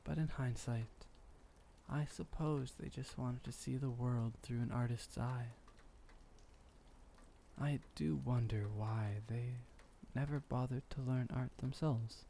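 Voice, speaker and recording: A man playing a gentle, refined, centuries-old vampire boyfriend in intimate ASMR roleplay, soft-spoken and soothing with formal wording. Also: medium pitch, fairly even intonation, slow, gravelly, slight background noise. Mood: calm, reminiscing.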